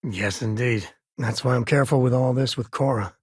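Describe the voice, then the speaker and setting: A man's voice: medium pitch, moderate pace, a little mellow, slightly rough, no echo, clean studio sound, natural voice. An actor playing an easygoing, wry, middle-aged spacefaring adventurer and father in a video game, talking in a folksy, colloquial way. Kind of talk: video game character dialogue.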